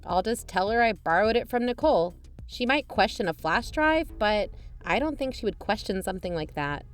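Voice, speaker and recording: An actress playing an earnest young amateur investigator, co-hosting a scripted podcast drama. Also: female voice, medium pitch, lively intonation, normal speed, lightly acted. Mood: uneasy, practical. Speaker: podcast co-host. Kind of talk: scripted audio drama narration and dialogue. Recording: music under the voice.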